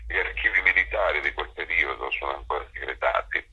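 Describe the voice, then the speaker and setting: A man with a raspy voice, speaking earnestly and haltingly in a conversational interview. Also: rumbling low, bright, forceful tone, lo-fi sound. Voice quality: gravelly, raspy. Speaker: interviewed stage actor and director.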